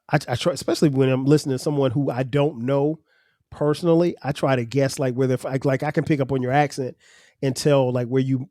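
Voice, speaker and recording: South carolina guy hosting a podcast, kinda nasal and stuffy, dark warm voice, lots of restarts. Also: medium pitch, no room sound, studio quality. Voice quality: slightly nasal. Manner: rambling, conversational, many restarts. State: congested sinuses. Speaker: podcast host. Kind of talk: casual solo podcast talk.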